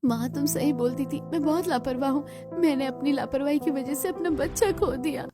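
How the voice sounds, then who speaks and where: Dutiful young wife for cartoon drama, gentle, caring, higher register, played by a woman. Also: normal speed, loud music behind the voice, close to an everyday voice. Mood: sorrowful. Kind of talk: Hindi cartoon story dialogue.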